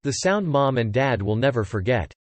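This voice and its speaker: A man's voice: mid-range voice, very animated, normal speed. A man teaching English, carefully reading definitions and example sentences aloud. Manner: clear, careful model reading.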